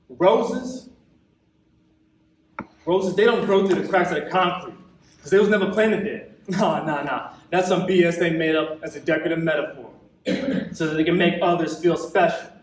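A young man with an American accent performing a theatrical stage monologue as an embittered, wounded young man, impassioned and colloquial, with dramatic pauses. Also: a little high, natural voice. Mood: scornful. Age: young adult. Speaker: actor in a monologue competition. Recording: echoey hall, recorded from far off.

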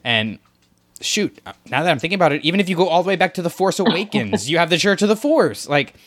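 A man co-hosting a podcast, talking casually with lots of fillers and getting excited as ideas come to him. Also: on the high side, quick pace. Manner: rambling, filler-heavy, gets excited. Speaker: podcast co-host.

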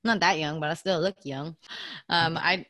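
A woman's voice: sits low, fairly even intonation, moderate pace, pushed and bright, no room sound, studio quality. A woman in her twenties chatting and telling stories casually, blunt and slangy, swearing freely. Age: 20s. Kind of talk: casual chat, storytelling.

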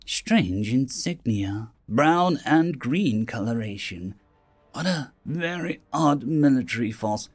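A man voicing a detached, oddly fussy government secretary in a science fiction audio story, speaking in a slow, musing way. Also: medium pitch, animated, husky, faint music behind, full character voice. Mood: puzzled, musing.

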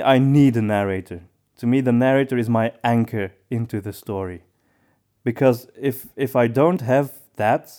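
A man's voice: medium pitch, mellow, clean tone. Man co-hosting a casual discussion. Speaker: podcast co-host.

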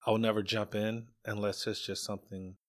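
A middle-aged man giving casual interview answers in a measured, halting way, often repeating words. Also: fairly low pitch, little pitch movement. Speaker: guest, parent.

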